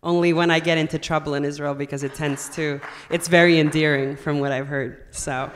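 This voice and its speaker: A woman's voice: low voice, fairly even intonation, brisk, in a large hall, a little hiss or hum. A woman from Sweden speaking up from the audience in a lighthearted, joking way. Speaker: audience member asking a question. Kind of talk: question from the floor.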